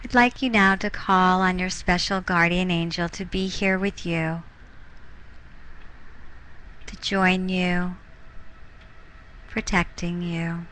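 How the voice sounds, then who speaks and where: A woman leading a guided meditation as a teacher, speaking in soothing, unhurried short phrases with pauses. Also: fairly low pitch, fairly even intonation, fairly bright tone, poor audio. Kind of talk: guided meditation, softly instructing.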